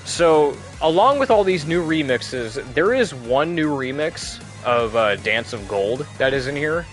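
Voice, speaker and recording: A man's voice: fairly high pitch, big pitch swings, measured pace, faint music behind. A man giving casual, chatty commentary while playing a video game, rambling and often restarting his sentences. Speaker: gameplay commentator. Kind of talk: casual let's play commentary.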